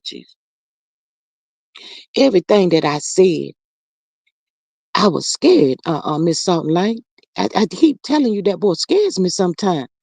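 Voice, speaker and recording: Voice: mid-range voice, gravelly, a little mellow, no echo. A performer voicing an older mother who stammers with fillers and calls everyone honey and child while chatting over viewer comments on a livestream. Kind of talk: improvised comedic character livestream.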